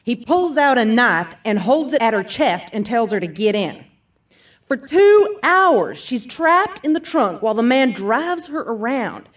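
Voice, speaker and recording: A woman's voice: fairly high pitch, sing-song, a little slow, distant mic. An actress playing a brash, upbeat self-defense seminar speaker who addresses her audience emphatically in an audio theatre piece. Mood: dramatic storytelling. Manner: emphatic, peppy motivational-speaker delivery, folksy asides.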